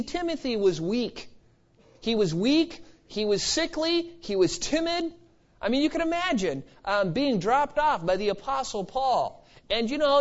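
A man preaching an expository sermon in an emphatic, exhorting manner with conversational asides. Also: sits high. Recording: slightly dull, lo-fi sermon recording.

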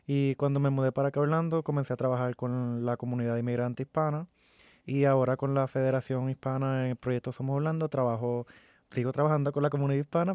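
A man from Puerto Rico with a Puerto Rican accent, a community liaison interviewed in the studio, friendly and measured. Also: medium pitch, warm tone, clear, resonant tone, dry recording. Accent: Puerto Rican Spanish. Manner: friendly, measured, mixes in English.

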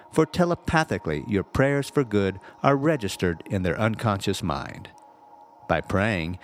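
Low-voiced man narrating with expressive pitch. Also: a little hiss or hum. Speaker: reader of a spiritual audio teaching. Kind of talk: scripted narration, read aloud.

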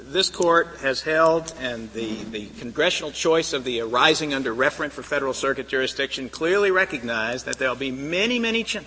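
A man arguing firmly and loudly as a lawyer before a high court, in formal courtroom style. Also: slight background noise. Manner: firm, insistent, persuasive; loud. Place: large courtroom. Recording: reverberant archival courtroom recording.

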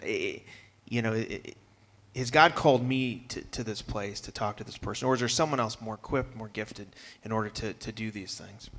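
Earnest low-voiced panelist. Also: male voice, fairly bright tone. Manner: earnest, conversational, many fillers and repeated starts. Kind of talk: panel discussion answer.

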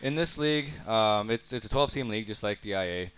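A man's voice: lowish voice, expressive, brisk, a bit bright, smooth. A man co-hosting a podcast, making crude jokes and explaining league rules. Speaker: podcast co-host. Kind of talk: casual chat.

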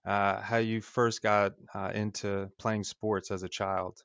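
A man's voice: on the low side, level tone, takes it slowly, fairly bright tone, dry recording. A man hosting an interview podcast, addressing listeners and his guest.

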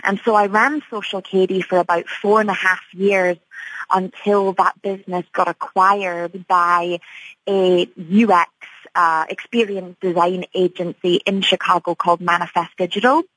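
A woman's voice: fairly low pitch, fairly even intonation, normal speed, a bit bright, dry recording, rough recording. A woman from Scotland, answering as a guest in a radio interview in an upbeat, chatty way with many fillers. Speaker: tech company CEO, guest.

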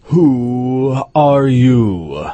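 A woman voicing a languid, sleepy caterpillar in a storybook, stern, contemptuous and terse, with a husky voice. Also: very deep voice, expressive, drawn-out words, dark tone, no room sound, voice pushed down, full character voice. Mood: languid, imperious.

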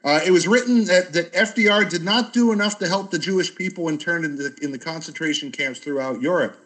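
A man's voice: a little high, fairly quick, a bit bright, poor audio. A man who teaches history, speaking informally as the moderator of an academic discussion.

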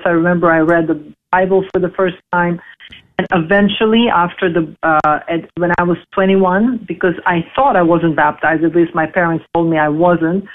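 A woman in her forties from Serbia, speaking English, telling her life story in an interview with halting, filler-heavy speech. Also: fairly low pitch. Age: 40s. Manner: halting, many fillers and restarts. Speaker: interview guest. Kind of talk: casual interview, personal story. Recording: tinny phone line.

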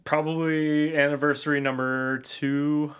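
Man podcast co-host, flat monotone delivery, with a faint hiss behind him. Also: a little high, unhurried. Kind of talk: casual chat.

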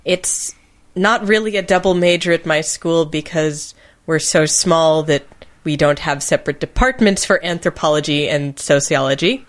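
A woman's voice: low-pitched, moderate pace. A woman hosting a podcast in casual conversation, with a playful, teasing manner. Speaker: podcast host.

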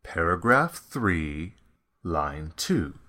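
A man voicing a menacing male goon enforcer who talks slowly and coldly. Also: low voice, sing-song, bright, forceful tone, pitched below the performer's own voice, exaggerated voice. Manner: slow, measured, coldly menacing.

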